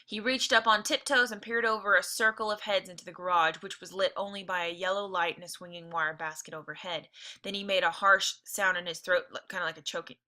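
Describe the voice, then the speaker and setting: A woman's voice: mid-range voice, fairly even intonation, a little fast, bright, forceful tone. A woman teacher reading a novel aloud expressively, breaking off for informal explanatory asides. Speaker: teacher reading along with listeners.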